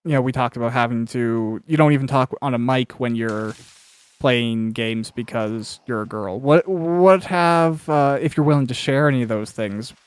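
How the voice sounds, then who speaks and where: A man's voice: medium pitch, moderate pace, clear, resonant tone, dry recording. A man conducting a conversational interview as host, reflective and rambling in how he frames his questions. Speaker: interviewer and writer of an audio series. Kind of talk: conversational interview while playing a game.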